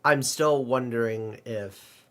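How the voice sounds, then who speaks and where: A man playing an anxious, weary, homesick space adventurer in an amateur sci-fi audio drama, speaking hesitantly and earnestly, with pauses mid-sentence. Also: medium pitch, a bit bright. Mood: anxious, pensive.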